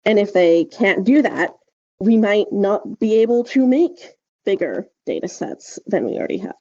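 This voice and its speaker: A woman's voice: mid-range voice, lively intonation, normal speed, mellow, dull audio. A woman hosting a podcast, explaining things casually and emphatically, peppering her talk with filler words and check-in questions. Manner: emphatic, hedging with frequent "like" and "right?". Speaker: podcast host.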